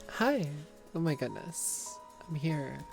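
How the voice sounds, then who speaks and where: A woman's voice: deep voice, lively intonation, drawn-out words, vocal fry, warm tone, soft background music. A woman streamer chatting casually and a bit scattered, her voice worn from getting sick. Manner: chatty, self-deprecating, scattered. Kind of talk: casual live-stream chat.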